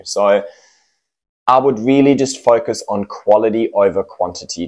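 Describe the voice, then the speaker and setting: A young man from Germany with a German accent touched by Australian English, talking casually to camera at home about himself and his plant care, with plenty of fillers and restarts. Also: fairly low pitch. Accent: German with Australian influence. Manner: relaxed, with many fillers and restarts. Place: home apartment.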